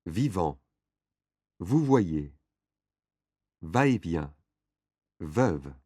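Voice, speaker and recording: A man reading French textbook drill answers with measured, careful enunciation, recorded close in a studio. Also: low-pitched, very animated, dark tone, dry recording. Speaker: textbook audio reader.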